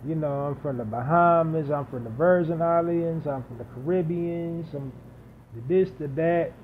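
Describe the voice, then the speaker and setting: A man's voice: on the high side, moderate pace. A man hosting a solo podcast, talking unscripted and building points through emphatic repetition and lists. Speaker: podcast host. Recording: dull lo-fi audio with faint hiss.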